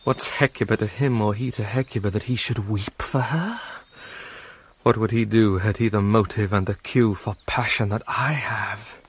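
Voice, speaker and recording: An actor with a British accent playing an anguished, self-loathing young prince in a theatrical Shakespearean soliloquy, breathy and brooding. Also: male voice, fairly low pitch, moderate pace. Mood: bitter brooding. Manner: brooding, swinging from hushed musing to ranting.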